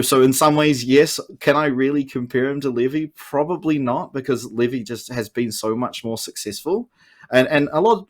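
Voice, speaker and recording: A man with a New Zealand accent explaining his views at length in casual, analytical podcast chat, like an esports caster. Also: medium pitch. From Oceania.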